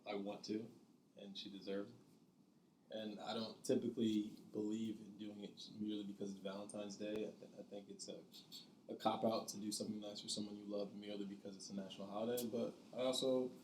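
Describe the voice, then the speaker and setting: A man in his thirties, a dinner guest, speaks in a measured, thoughtful way with dry humor. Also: lowish voice, flat delivery, darkish tone, roomy sound, a little hiss or hum, low-quality recording. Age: 30s. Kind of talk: casual dinner-table chat. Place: dining room at a dinner table.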